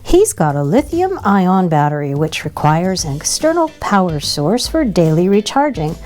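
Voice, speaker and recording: A woman's voice: fairly low pitch, big pitch swings, normal speed, a little mellow, soft background music. A woman voicing mocking, know-it-all male monster truck brothers from a storybook. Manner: mocking, taunting.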